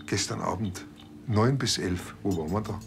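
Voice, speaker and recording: Deep-voiced wry Bavarian cop. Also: male voice, normal speed, vocal fry, music in the background. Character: casual, wry Bavarian police detective. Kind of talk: TV crime comedy acting.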